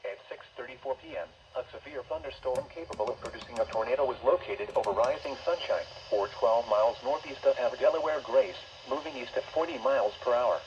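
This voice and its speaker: A man's voice: mid-range voice, fairly quick, few pauses. A synthesized man's voice reading formal emergency weather warnings in a stilted, scripted way as an automated radio announcer. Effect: synthesized voice over weather radio. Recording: dull, noisy weather radio broadcast.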